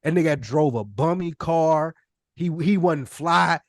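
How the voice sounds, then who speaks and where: A man of forty with a high-pitched voice co-hosts a casual podcast. He argues bluntly and insistently, swearing freely and throwing out slangy rhetorical questions. The sound is tight and dry, with no room on it. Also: measured pace, no room sound. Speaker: podcast co-host. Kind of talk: heated casual podcast debate.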